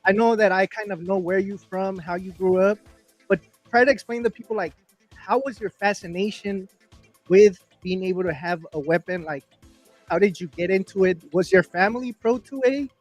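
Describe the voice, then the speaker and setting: A man's voice: sits high, normal speed, faint music behind. A man hosting a podcast in casual chat, loose and slangy, calling his guest "bro" often. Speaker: podcast host.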